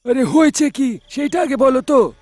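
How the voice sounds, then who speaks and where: Man playing a greedy, high-pitched eager fellow. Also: expressive, moderate pace. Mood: concerned, curious. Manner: eager, exclamatory. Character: greedy male friend of a rickshaw driver.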